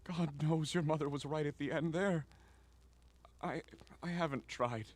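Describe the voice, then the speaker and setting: A man's voice: a little high, a little slow, a little mellow. A man acting in a radio drama as an anguished, guilt-ridden middle-aged father who is defensive and stammers with emotion. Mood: remorseful, shaken.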